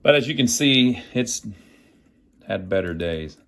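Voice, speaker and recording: A man's voice: mid-range voice, lively intonation, a little slow, a bit bright. A man hosting a casual hands-on repair tutorial, thinking aloud in a folksy way as he works. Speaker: host of an amateur radio repair channel.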